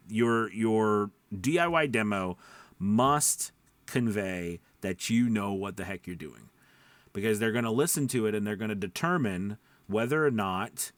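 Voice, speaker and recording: A man's voice: on the low side, dry recording. A man coaching in an informal, conversational way, emphatic and rambling, often checking in with short questions. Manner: rambling, self-interrupting. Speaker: voice-over coach and mentor.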